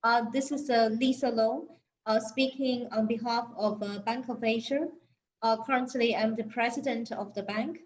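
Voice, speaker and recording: A woman, a bank president, speaking haltingly in an interview with many fillers and restarts. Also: fairly high pitch, steady tone. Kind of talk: interview answers.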